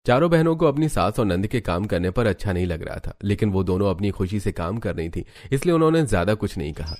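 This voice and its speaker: Low-voiced man narrating a story. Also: big pitch swings, fast, a little mellow. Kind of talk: scripted cartoon story narration.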